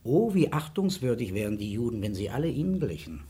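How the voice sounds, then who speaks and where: An actor playing a gracious noble traveller in a 1960s radio comedy, speaking courtly and earnest. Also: male voice, mid-range voice, big pitch swings, brisk, dark tone, lightly acted. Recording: old 1960s radio recording.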